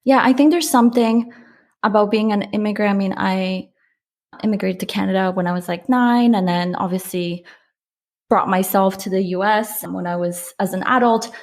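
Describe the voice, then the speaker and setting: A woman's voice: mid-range voice, normal speed, a little mellow. A woman from Poland, a startup founder, talking reflectively in a casual interview with frequent restarts and fillers.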